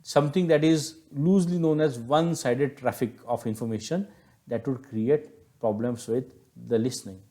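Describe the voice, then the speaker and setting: A male teacher with an Indian accent delivers an explanatory lecture. His voice is mid-pitched with a soft, mellow tone. He speaks didactically, leaning on key words and restating each point in other words. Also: moderate pace.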